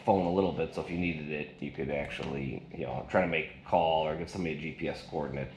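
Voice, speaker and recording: A man's voice: very deep voice, fast. A man giving a casual hands-on gadget review in a rambling, colloquial way, speaking indoors. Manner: rambling, colloquial, folksy slang. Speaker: product reviewer. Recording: echoey room with loud noise.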